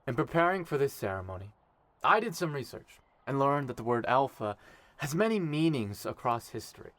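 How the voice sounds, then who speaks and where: A man playing an earnest young male ruler in an audio drama, speaking in a measured, sincere way. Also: mid-range voice, big pitch swings, fairly bright tone, no echo. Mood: solemn, warm.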